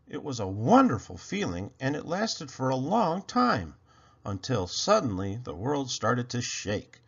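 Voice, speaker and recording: A man with a gravelly, husky voice narrating a children's story in an expressive read-aloud style. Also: medium pitch, a little slow, muffled recording. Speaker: storybook reader.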